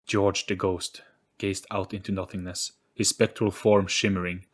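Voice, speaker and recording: A man's voice: deep voice, level tone, moderate pace, breathy edge. A man reading scripted narration for a storybook-style audio play.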